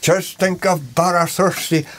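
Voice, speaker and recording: A man's voice: high voice, steady tone, unhurried, a bit bright. A man voicing an old, thirsty island wife with wry remarks. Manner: wry, half-whispered asides.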